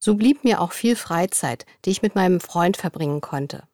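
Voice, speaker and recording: A young woman in a studio, reading interview answers in a scripted dialogue at an even, clear pace. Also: on the low side, normal speed, no echo.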